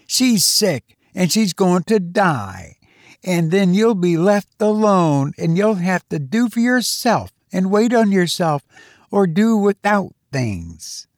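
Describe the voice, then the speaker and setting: A man's voice: high voice, sing-song, slow, no echo, studio quality, exaggerated voice. A man voicing a blunt, indignant rural woman with a folksy, emphatic way of talking, in a storybook reading. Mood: hard, scolding. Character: blunt, indignant rural woman, plainspoken neighbor recalling events.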